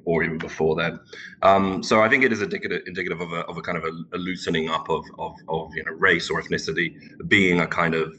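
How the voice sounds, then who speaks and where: A man whose first language is Turkish, a guest in a casual interview, speaking haltingly and repeating words as he thinks. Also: low voice, sharp tone, slight background noise, low-quality recording. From England. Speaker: podcast guest.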